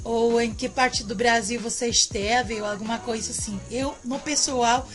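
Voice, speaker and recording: A woman's voice: on the high side, fairly even intonation, unhurried, soft background music. A woman from Mexico, whose first language is Spanish, chats to camera in a Mexican Spanish accent, rambling, repeating herself and tagging lines with "né". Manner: chatty, rambling, repeats phrases and tags with "né". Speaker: vlogger. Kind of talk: casual chat to camera.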